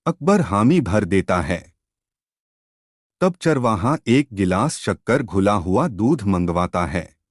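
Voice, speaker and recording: A man narrates a children's moral story in a measured, expressive way. His delivery is very unhurried, with plenty of rise and fall in the intonation. The sound is dry and close, free of room reflections. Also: on the low side, dry recording. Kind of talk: scripted moral story narration for a cartoon video.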